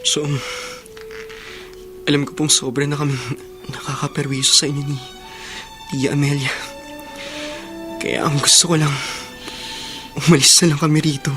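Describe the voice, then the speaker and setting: A man portrays a sad orphaned Filipino boy in a radio drama. The voice is high, deferential and pleading. Music plays clearly behind it. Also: flat delivery, normal speed, long pauses, natural voice. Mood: apologetic, subdued. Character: sad, polite, self-sacrificing orphaned boy.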